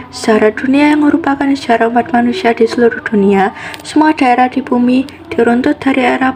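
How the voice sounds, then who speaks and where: Gravelly-voiced teenage girl, chatty. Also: a little high, darkish tone, slight background noise, rough recording. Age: teens. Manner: chatty, asks listeners questions. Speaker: student podcast member. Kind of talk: school podcast presentation.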